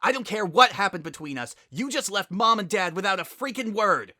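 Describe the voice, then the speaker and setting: A bitter, furious female pegasus pony from a dramatic story reading, acted out by a man. The voice is pushed well above a natural male pitch and is bright and forceful. She hurls accusations with wide, animated swings. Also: brisk, no room sound, heavily acted. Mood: furious screaming. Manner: accusing, forceful, loud outbursts.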